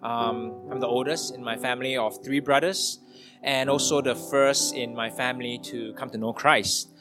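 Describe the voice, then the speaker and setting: Male. Church testimony, measured and halting, average pitch, guitar plainly audible underneath. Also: fairly even intonation. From Singapore. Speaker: church member giving testimony. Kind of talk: personal testimony to a congregation. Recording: guitar music playing behind speech. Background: guitar music.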